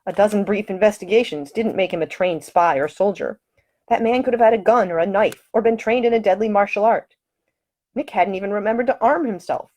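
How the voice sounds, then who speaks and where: A woman's voice: mid-range voice, a little fast, darkish tone. A woman reading her own novel aloud as scripted audiobook narration.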